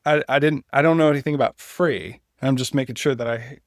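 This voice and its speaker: A man's voice: mid-range voice, steady tone, fairly quick, dry recording. Opinionated man chatting politics, dry close sound. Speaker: political podcast co-host. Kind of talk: casual political discussion.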